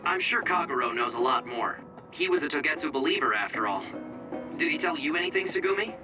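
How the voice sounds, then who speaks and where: A man's voice: a little high, lively intonation, brisk, far from the mic, music in the background, lightly acted. An actor playing a helpful, matter-of-fact young male mission operator who explains things informally in an English game dub. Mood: casual, helpful.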